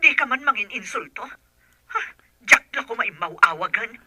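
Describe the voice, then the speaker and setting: Sharp, husky, touchy woman. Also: fairly high pitch, expressive, moderate pace, full character voice. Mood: annoyed. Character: touchy, resentful partner of a businessman. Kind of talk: radio drama acting in Ilocano.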